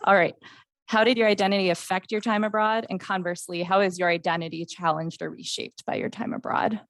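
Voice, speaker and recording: A woman moderating an online panel over a video call, asking clear questions in a friendly way. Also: medium pitch, flat delivery, brisk, no room sound.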